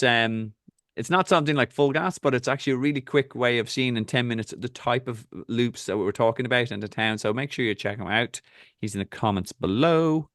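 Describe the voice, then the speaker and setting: A man's voice: medium pitch, fairly bright tone, no echo, studio quality. A man with an Irish accent, a triathlon coach hosting a casual talk and giving direct, practical advice. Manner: direct, instructive, rapid asides.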